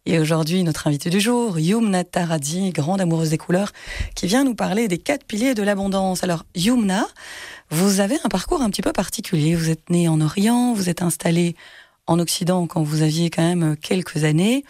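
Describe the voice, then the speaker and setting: Gentle interview host, dry close sound. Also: medium pitch, moderate pace, no room sound. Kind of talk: interview hosting.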